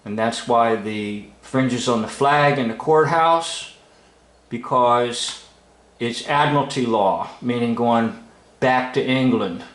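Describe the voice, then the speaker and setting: A man giving informal, unscripted commentary as a video host, speaking in halting, broken phrases with emphatic stresses. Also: mid-range voice, a bit bright. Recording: roomy, echoing room sound.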